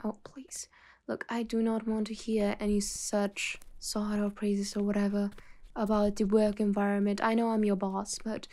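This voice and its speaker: Woman doing a mafia boss lady for asmr, slow n measured, kinda condescending but soft, drops near a whisper. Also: medium pitch, lightly acted. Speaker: ASMR roleplay performer. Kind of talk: slow, intimate ASMR roleplay.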